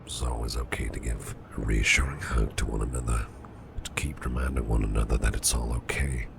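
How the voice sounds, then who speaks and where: A man playing a caring, protective businessman boss in an ASMR roleplay, speaking in a soothing, reassuring, breathy half-whisper. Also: a bass voice, fairly even intonation, a little fast, noisy background, heavily acted. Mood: tender, reassuring. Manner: soothing, reassuring, affectionate, gently murmured. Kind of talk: soft ASMR roleplay, personal attention.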